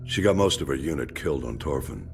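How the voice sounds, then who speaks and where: A man playing a stern, gruff older military commander in a video game, with a gravelly voice. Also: a bass voice, expressive, quick pace, faint music behind, heavily acted. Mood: grave, assessing. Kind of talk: video game character acting.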